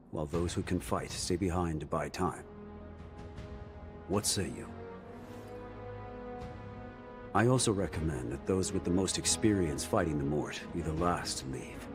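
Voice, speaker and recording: Guy doing a really deep solemn mercenary captain, fantasy game cutscene, measured and formal, loud music behind. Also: natural voice. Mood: firm, grave. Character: grave, resolute male mercenary brigade leader, measured and honorable. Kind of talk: dramatic video game cutscene acting.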